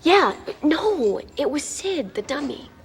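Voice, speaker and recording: An actress playing an unsettled young heroine in a TV drama, speaking earnestly and insistently. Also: female voice, high-pitched, sing-song, slow pace, heavily acted. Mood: uneasy, insistent. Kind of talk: television drama dialogue. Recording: TV show clip with faint noise.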